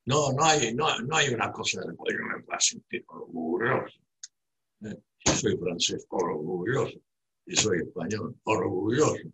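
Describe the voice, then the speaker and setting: A man's voice: fairly low pitch, a bit bright, slightly rough, poor audio. A man from Buenos Aires with a Rioplatense accent, giving a digressive, reflective monologue full of tag questions and restarts. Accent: Rioplatense Spanish. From Buenos Aires, Argentina.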